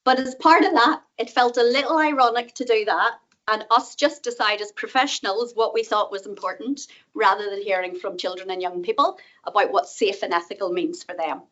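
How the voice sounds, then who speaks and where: A middle-aged woman with a Northern Irish accent giving a conference talk, quick, chatty and self-deprecating. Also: mid-range voice, fairly bright tone, lo-fi sound. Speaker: guest speaker, professor. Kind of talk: conference presentation. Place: conference room.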